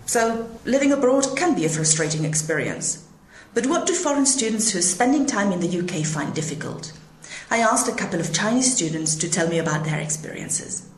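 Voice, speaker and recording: A woman's voice: medium pitch, big pitch swings, brisk, a little hiss or hum. Woman presenting a podcast, roomy sound. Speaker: podcast host. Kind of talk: scripted podcast narration.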